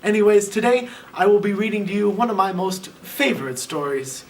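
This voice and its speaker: High, lively male storyteller. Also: brisk. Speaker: storyteller host. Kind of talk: reading a children's book aloud. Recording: voice a bit far from the mic, faint noise.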